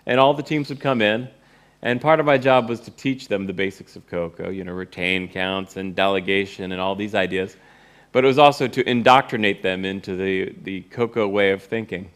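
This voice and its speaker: A man's voice: sits low, normal speed, a bit bright, clean tone. A middle-aged man telling a personal story informally to an audience, with a wry way of speaking. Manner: wry, acts out remembered dialogue. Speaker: presenter, course teacher and author.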